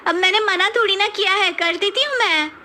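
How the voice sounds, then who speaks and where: A woman's voice: extremely high pitch, brisk, sharp tone, slight background noise, voice pushed up. A woman voicing a young Indian daughter-in-law, touchy but affectionate, in a moral cartoon story. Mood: irritated.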